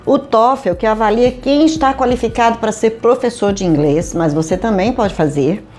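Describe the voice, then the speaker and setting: A woman's voice: a little high, moderate pace, a little mellow, soft background music. A middle-aged Brazilian woman from Manaus with a local accent, an HR consultant speaking straight to her viewers in a conversational, emphatic way, full of rhetorical questions. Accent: Brazilian Portuguese, Manaus. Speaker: HR consultant and career advisor. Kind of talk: direct-to-camera talk addressing viewers. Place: home studio in Manaus.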